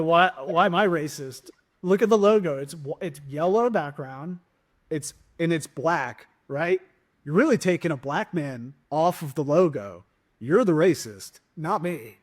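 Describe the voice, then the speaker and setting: A man's voice: a little high, lively intonation, moderate pace. Joking, rambling male comic host. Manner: rambling, joking, lots of restarts. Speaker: podcast host and stand-up comic. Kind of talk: casual interview chat.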